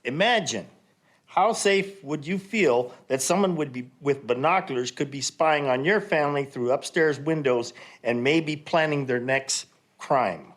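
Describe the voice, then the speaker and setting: A man speaking loudly and indignantly during public comment at a council meeting. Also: on the high side, unhurried. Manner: loud, indignant.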